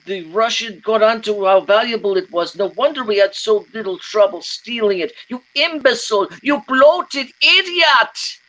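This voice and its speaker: Prissy sneering crook, high bright voice, fussy diction, very animated, old-time radio drama. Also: male voice, normal speed, rough recording. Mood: furious. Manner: fussy, precise, theatrical. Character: prissy, excitable, sneering male criminal accomplice.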